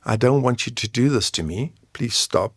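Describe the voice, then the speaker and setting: A man's voice: medium pitch, lively intonation, moderate pace, slightly rough, no room sound, clean studio sound. A man explaining things frankly and conversationally as an interview guest, often checking his points with a tag question. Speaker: guest, men's mental health coach and advocate.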